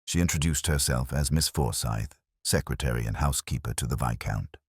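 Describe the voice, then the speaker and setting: A man's voice: rumbling low, brisk, slightly rough, no echo, studio quality. A man giving a scripted, literary narration of a detective story as an audiobook narrator.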